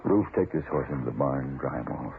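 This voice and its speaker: An actor playing a stubborn, vengeful rural widower with rustic speech in an old-time radio western. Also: male voice, extremely low pitch, animated, fast talker, creaky, heavily acted. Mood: gruff, curt. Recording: noisy, narrow-band old radio recording.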